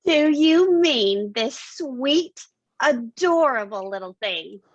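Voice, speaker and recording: A woman playing a scornful, commanding femme fatale villain with a sardonic, deliberate delivery, in a noir staged reading with slightly dull sound. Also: sits high, animated, no room sound, exaggerated voice. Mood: commanding menace.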